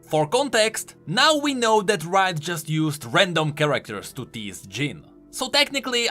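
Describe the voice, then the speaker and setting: A man's voice: on the high side, pushed and bright. A man giving enthusiastic, emphatic explanatory commentary on video game lore.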